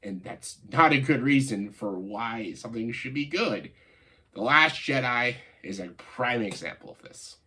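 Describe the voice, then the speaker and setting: A man with a stuffed-up, nasal voice from a cold, giving casual, opinionated video commentary in a halting way, stressing key words and often saying "like". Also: medium pitch, expressive, sharp tone, breathy edge. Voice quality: congested, nasal voice. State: congested, stuffed-up nose after a cold. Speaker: video host, film commentator.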